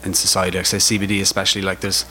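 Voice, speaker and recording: A man's voice: low-pitched, completely flat delivery, very fast, bright, forceful tone. A man with an Irish accent speaking informally and enthusiastically as a retailer on a panel, repeating himself for emphasis. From Ireland. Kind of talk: informal spoken presentation. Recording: noticeable background noise.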